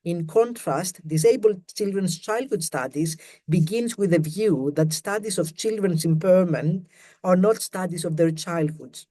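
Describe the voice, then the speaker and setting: A man with a Greek accent giving an academic webinar presentation over a video call, reading prepared text in a careful, measured way. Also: sits high, darkish tone. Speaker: academic panellist.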